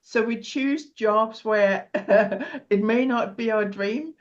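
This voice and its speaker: A woman's voice: fairly high pitch, slow, lo-fi sound. Cheerful Danish woman, often laughing. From Denmark. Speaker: podcast guest. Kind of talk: casual interview chat.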